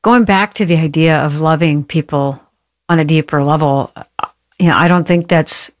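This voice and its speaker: Earnest podcast host, mid-pitched voice. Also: normal speed, no echo. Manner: earnest, encouraging. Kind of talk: podcast interview.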